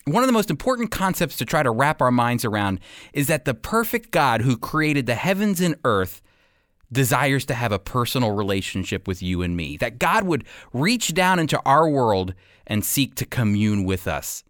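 An American man hosts a radio Bible program with earnest, emphatic phrasing. His mid-pitched voice rises and falls widely as he teaches. The recording is close and dry. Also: big pitch swings, moderate pace, fairly bright tone, no room sound.

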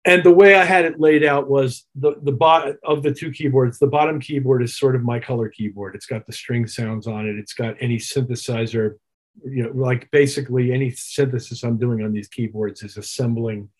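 Husky middle-aged man explaining in detail. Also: mid-range voice, fairly even intonation, normal speed. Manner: reflective, detailed explaining with trade terms. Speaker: interview guest, touring keyboard player. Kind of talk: conversational interview answers.

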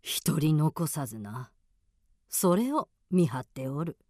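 A woman's voice: deep voice, very animated, slow, darkish tone, dry recording, studio quality, heavily acted. A woman playing a centuries-old, mysterious and stern old mountain woman with archaic, weighty speech in a radio drama. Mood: cryptic, calm.

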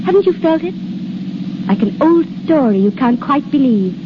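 A woman's voice: high-pitched, sing-song, moderate pace. A woman playing a dreamy, entranced young girl in 1930s radio drama style. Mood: dreamy, wistful. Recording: muffled, noisy 1930s radio broadcast.

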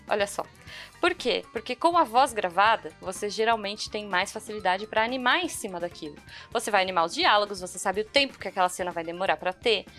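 A woman's voice: mid-range voice, fairly bright tone. A woman with a Brazilian Portuguese accent hosting a podcast, explaining things casually and speaking informally straight to the listeners. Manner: chatty, informal, addresses listeners directly. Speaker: podcast host. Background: background music.

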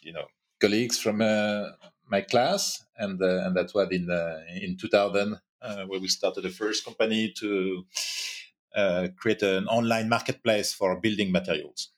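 A man's voice: lowish voice, steady tone, moderate pace. A man from France with a French accent, a guest in a podcast interview, speaking hesitantly with many fillers. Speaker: guest entrepreneur. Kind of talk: casual interview chat.

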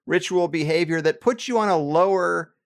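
A man's voice: high-pitched, normal speed, creaky. A man hosting a podcast interview, casual and blunt, swearing freely as he talks. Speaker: podcast host.

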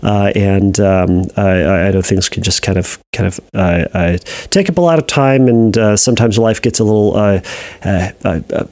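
A man's voice: fairly low pitch, normal speed, darkish tone. A man working as a therapist, answering listener questions on a podcast in a hesitant way, with many fillers and restarts. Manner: reads listener letters aloud.

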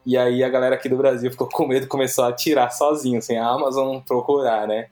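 Man, Brazilian Portuguese accent, podcast host, rambling chat, mid pitch, faint music underneath. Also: little pitch movement, fast, a little mellow. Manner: rambling, many restarts and tag questions.

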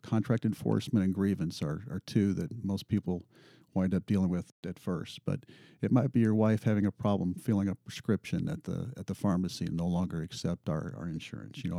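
A man's voice: sits low, creaky, mellow, dry recording, clean studio sound. A man in his fifties, a pilot union representative, answering interview questions earnestly and often repeating words as he thinks.

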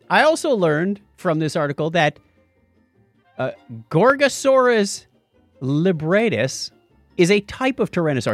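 Higher-voiced, animated man hosting a podcast. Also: no room sound. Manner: chatty, explanatory. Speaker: podcast host. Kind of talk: casual podcast talk on science news.

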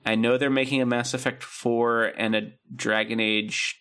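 Man, podcast co-host, casual rambling chat, gravelly voice, lots of filler words. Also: medium pitch, little pitch movement, normal speed, bright, forceful tone. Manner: rambling, lots of ums and likes, ranting.

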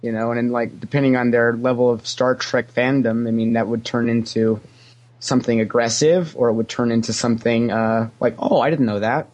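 A man's voice: medium pitch, fairly even intonation, brisk, darkish tone. Talkative man telling casual interview anecdotes. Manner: talkative storyteller, acts out quoted remarks. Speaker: podcast guest, former theme park character actor.

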